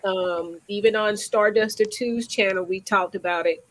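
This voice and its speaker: A woman's voice: mid-range voice, a little slow, rough recording. Stuffy-nosed woman hosting a call. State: congested, stuffy nose. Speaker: host. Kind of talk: casual video call chat.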